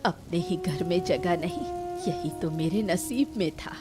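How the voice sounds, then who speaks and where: A woman's voice: on the low side, measured pace, over music, natural voice. A woman voicing a poor, wronged old mother-in-law in a cartoon melodrama, plaintive and aggrieved. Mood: plaintive, hurt. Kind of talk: Hindi cartoon family melodrama.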